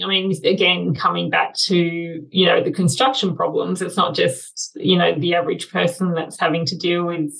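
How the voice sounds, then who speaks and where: A woman's voice: on the low side, gravelly, fairly bright tone, low-quality recording. A woman with an Australian accent giving conversational expert commentary as an interview guest, explaining things in an informal way.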